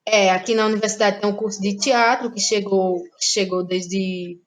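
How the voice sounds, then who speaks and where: A woman's voice: mid-range voice, little pitch movement, moderate pace. A young woman from Ceará with a Northeastern Brazilian accent, a guest playwright in a podcast interview, thinking aloud with restarts and frequent tag questions.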